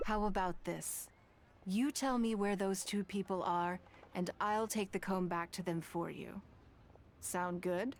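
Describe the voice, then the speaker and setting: An actress playing a composed, authoritative lady in a video game, speaking in a measured, articulate way. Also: mid-range voice, a little hiss or hum, close to an everyday voice. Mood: calm, in control. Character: poised, composed adult lady of authority, kindly but stern.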